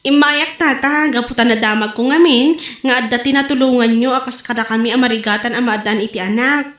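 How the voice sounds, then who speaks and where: An actress plays a frank village woman in an Ilocano-accented comic drama. Her voice sits high, and the sound is roomy and echoing. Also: fairly even intonation, fairly quick, fairly bright tone, lightly acted. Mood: earnest. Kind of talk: Ilocano comedy drama acting. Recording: roomy echo.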